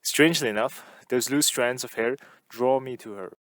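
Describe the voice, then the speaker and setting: Male audiobook reader, mid voice, steady delivery, dry sound. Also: medium pitch, normal speed, no echo, low-quality recording. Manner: calm, even first-person narration. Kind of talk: reading a novel aloud.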